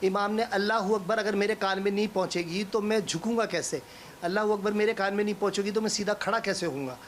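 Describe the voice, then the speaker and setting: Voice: mid-range voice, fast, a little hiss or hum. A cleric being interviewed, explaining with emphatic repetition and illustrative examples.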